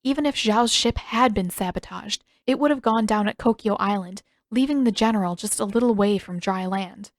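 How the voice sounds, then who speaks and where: A woman's voice: mid-range voice, moderate pace, hardly any pauses, no echo. A woman reading scripted fiction as the narrator of a story. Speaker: podfic narrator and author.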